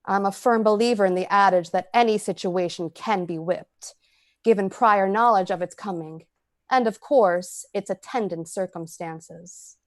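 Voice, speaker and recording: An actress playing a cold, confident rich woman near death, narrating her experiment formally and deliberately in old-time radio style. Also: mid-range voice, no room sound, studio quality, close to an everyday voice. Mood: cool, intent.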